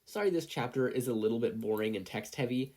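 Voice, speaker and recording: A person narrating fan fiction like an audiobook, expressive, with casual asides. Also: mid-range voice, quick pace. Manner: animated, expressive storytelling. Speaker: podfic reader, narrator.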